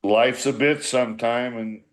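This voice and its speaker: A man's voice: mid-range voice, fairly even intonation, unhurried. A man in his sixties, a former baseball manager, reminiscing casually in a rambling, repetitive, blunt and salty way. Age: 60s. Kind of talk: casual reminiscing in a group podcast.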